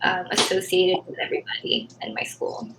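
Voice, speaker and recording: A woman in her twenties, from the Philippines, answering interview questions softly and hesitantly, with many pauses and ums. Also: on the low side, completely flat delivery. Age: 20s. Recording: echoey room, faint background noise.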